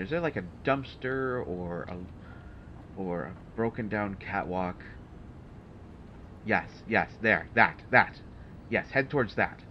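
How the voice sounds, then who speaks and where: Through a dull recording with loud background noise comes a man acting as a haughty supervillain in an audio roleplay. He speaks haltingly and with dry sarcasm, in a low voice, exasperated by the hero he grudgingly helps. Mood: distracted, searching. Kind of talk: roleplay audio drama, one side of a dialogue.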